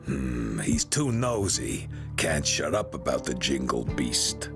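An actor playing a menacing, gruff exile gang boss with a gravelly voice in a video game. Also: male voice, extremely low pitch, big pitch swings, slow, music in the background, full character voice. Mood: cold, menacing.

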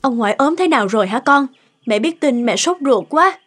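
A woman's voice: sits high, animated, normal speed, a bit bright, breathy edge, exaggerated voice. A woman playing an anxious, insistent middle-aged daughter and mother in a cartoon, fretful and questioning. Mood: alarmed. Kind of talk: cartoon dialogue acting.

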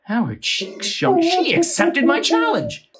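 A man's voice: very high voice, wildly swooping pitch, a little slow, crowd noise, full character voice. A man playing a hot-headed, lovestruck landowner in a comic audio play, bombastic and volatile, shifting between bellows and murmurs. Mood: excited admiration. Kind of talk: theatrical audio play comedy.

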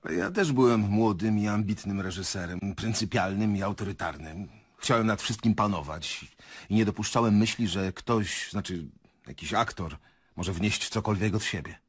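A man's voice: lowish voice, normal speed, breathy edge, no echo, studio quality. An actor playing an older male theatre director in a radio play, earnest, hesitant and reflective. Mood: reflective, confessional.

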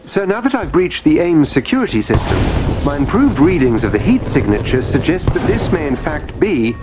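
An actor playing a polite, dry-witted AI butler assistant in a video game, formal, precise and deferential in manner. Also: male voice, a little high, big pitch swings, moderate pace. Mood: calm, informative. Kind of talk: cinematic video game voice acting. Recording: loud music behind the voice.

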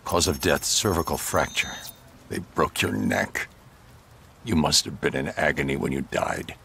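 An actor playing a grim, brooding vigilante detective in a video game, speaking in a terse, grave, deliberate way. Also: male voice, extremely low pitch, gravelly, sharp tone, a little hiss or hum, heavily acted. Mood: grim analysis.

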